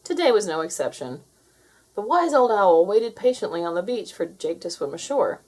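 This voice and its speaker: A woman narrates a picture book for children. Her voice is husky and sits in a middle register, with widely swinging, expressive intonation that follows the story. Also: mid-range voice, moderate pace.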